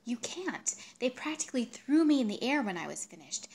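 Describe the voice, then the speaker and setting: A woman's voice: fairly high pitch, sing-song, a little fast, darkish tone, pitched above the performer's own voice. Melodramatic, self-important male suitor, performed by a female reader, insistent and pleading, for literary storytelling. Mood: boastful, agitated. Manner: insistent, grandiose, wheedling.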